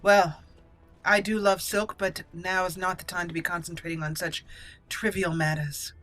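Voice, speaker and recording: A woman's voice: on the low side, little pitch movement, normal speed, fairly bright tone. A woman hosting a let's play, giving roleplay commentary and slipping into regal in-character lines.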